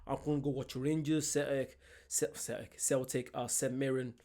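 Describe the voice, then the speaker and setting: A young man, doing an excited, chatty reaction vlog and laughing often. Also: medium pitch, moderate pace. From Ireland. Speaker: reaction vlogger. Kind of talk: casual reaction commentary.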